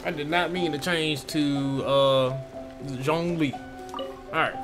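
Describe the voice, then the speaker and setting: A man reacting casually to a video game story. His talk is slangy and laid-back, at a slow pace, in short muttered asides with game music clearly behind him. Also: fairly high pitch, fairly even intonation. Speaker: gaming streamer reacting to a story quest.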